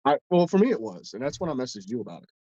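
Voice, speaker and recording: A man's voice: mid-range voice, very animated, very fast, mellow, no room sound, dull audio. A man co-hosting a casual podcast, stuffed up and congested, telling a long story in a rambling, profane way with many restarts. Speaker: podcast co-host.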